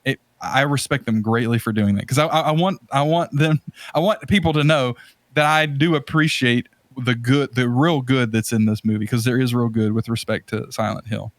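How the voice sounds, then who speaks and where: A man with a mid-pitched voice talks as a podcast host, recorded dry with almost no room sound. He gives casual, opinionated commentary on films, rambling and circling back on phrases as he drives each point home emphatically. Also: normal speed, dry recording.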